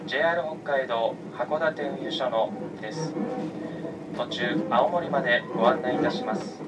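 A man's voice: mid-range voice, moderate pace. A male conductor politely greeting passengers and introducing himself over a train's public address system. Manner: courteous, formal greeting. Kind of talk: formal train announcement. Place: inside a moving train. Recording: distant PA speaker, loud train noise.

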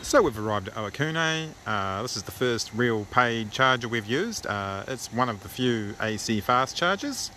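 A man's voice: medium pitch, moderate pace, hardly any pauses. Chatty New Zealand man over road noise. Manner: relaxed, chatty, many uh fillers. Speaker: vlogger on an electric car road trip. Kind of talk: casual road-trip narration. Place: inside a moving car and outdoors at chargers. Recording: noisy car and street background.

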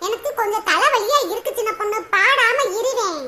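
A woman's voice: very high-pitched, brisk, pushed and bright, a little hiss or hum. A woman voicing a blunt old village granny in a cartoon, scolding and complaining in a rural southern Tamil Nadu accent. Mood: grumbling.

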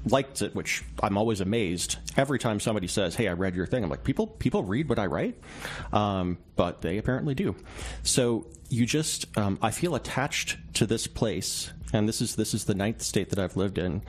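A man's voice: lowish voice, animated, normal speed. A man speaking as a reporter being interviewed, reflective and conversational, explaining himself through small anecdotes and examples. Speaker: newspaper reporter, interview guest. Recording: clear background noise and ambience.

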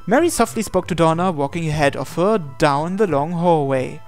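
A man's voice: on the high side, expressive, normal speed. A man reading a visual novel aloud as narration, sometimes stumbling and repeating phrases. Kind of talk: dramatic read-aloud narration with asides. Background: game music.

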